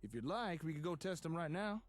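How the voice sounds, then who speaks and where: An actor playing an eager, friendly inventor in a video game, upbeat and conversational, with a husky voice. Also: male voice, fairly high pitch, sing-song, a little fast. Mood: encouraging. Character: eager, friendly male inventor showing off settlement tech.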